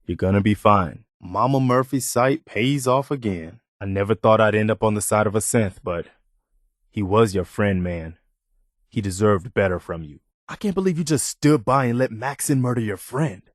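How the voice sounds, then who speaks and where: An earnest American soldier with a lowish voice, recorded close and dry. He is a dutiful, loyal companion from a video game, speaking plainly and sincerely. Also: lively intonation, normal speed, dry recording. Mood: grave, disappointed. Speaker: voice actor playing a game companion. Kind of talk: acted video game dialogue.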